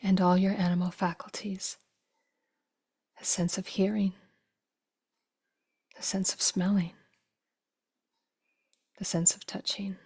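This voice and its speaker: A woman guiding a meditation in a soft, breathy voice that often drops toward a whisper, with slow, gentle phrases and long pauses. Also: mid-range voice, little pitch movement. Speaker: meditation teacher. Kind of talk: guided meditation.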